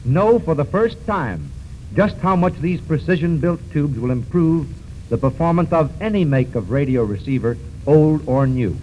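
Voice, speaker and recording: A man's voice: on the high side, lively intonation, normal speed, a little mellow. Old time radio announcer guy doing a sponsor read, formal and declamatory, dull recording with a little noise. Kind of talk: 1930s radio announcing, scripted ad. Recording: muffled, faintly noisy 1930s radio recording.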